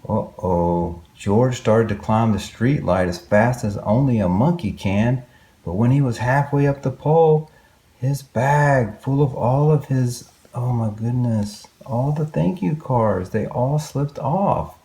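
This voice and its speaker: A man's voice: medium pitch, takes it slowly, warm tone. A man reading a children's story aloud expressively, with exclamations and wondering asides to young listeners.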